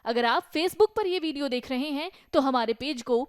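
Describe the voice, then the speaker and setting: A woman's voice: sits high, animated, normal speed, no echo, clean studio sound. A woman reading a scripted channel promo as an announcer.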